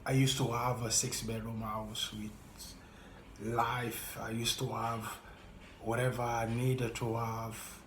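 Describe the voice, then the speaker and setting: Slow, earnest man with French accent. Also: medium pitch, little pitch movement, reverberant, slight background noise. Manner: slow, earnest, halting and repetitive. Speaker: YouTuber. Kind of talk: heartfelt direct-to-camera talk.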